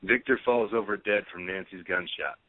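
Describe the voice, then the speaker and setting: A man's voice: low-pitched, moderate pace, slight background noise. A man narrating a play, reading stage directions as scripted narration. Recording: thin phone-like line.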